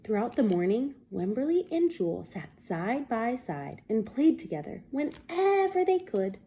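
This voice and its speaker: A woman reading a children's picture book aloud as a teacher, expressive and deliberate, pausing to put questions to young listeners. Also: on the high side, a little hiss or hum.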